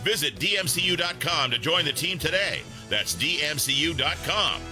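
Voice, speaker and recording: Male commercial announcer, slightly high and lively, clear music underneath. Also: normal speed. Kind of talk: scripted radio ad narration. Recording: prominent music behind the voice.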